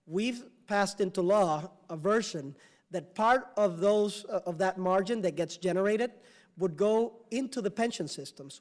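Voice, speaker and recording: A man testifying as governor at a hearing, answering questions in a halting way with many fillers and restarts. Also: high-pitched, darkish tone. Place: congressional hearing room.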